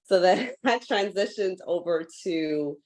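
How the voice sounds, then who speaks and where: A young woman from Guyana, a foundation founder, talking casually as a guest in a video-call interview, full of "like" fillers and lively while telling stories. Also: on the low side, slow. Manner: chatty, many "like" fillers, animated when telling stories. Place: home in Hawaii.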